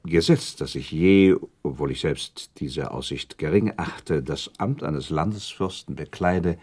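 An actor playing a thoughtful, self-doubting prince in a radio drama, speaking in courteous, elaborate formal phrases. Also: male voice, very deep voice, a little slow, a little mellow, slightly rough, exaggerated voice. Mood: approving. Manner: formal, elaborate, with inserted asides. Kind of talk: German radio drama acting.

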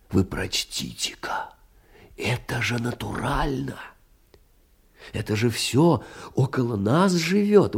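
An actor playing a tender, anxious, humble older clerk, speaking effusively and pleadingly in a theatrical monologue with folksy endearments. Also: male voice, medium pitch, dark tone, slightly rough, full character voice. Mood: hushed, earnest. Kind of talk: theatrical stage monologue of a Russian classic.

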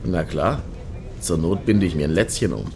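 An actor playing a crude, cynical ex-boyfriend in a radio satire, with a sardonic, grumbling delivery. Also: male voice, deep voice, animated, moderate pace, background noise. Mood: cheeky. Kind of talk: German radio play dialogue.

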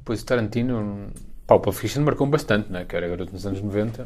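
A man's voice: lowish voice, fast, a little mellow. A man in his thirties or forties with a European Portuguese accent, chatting casually and colloquially about films, with swearing and tag questions.

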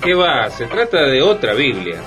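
A man's voice: mid-range voice, big pitch swings, moderate pace, natural voice. A man playing a knowing, impassioned explainer in a radio play, emphatic and didactic. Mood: emphatic, explaining. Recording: dull lo-fi, faint music behind.

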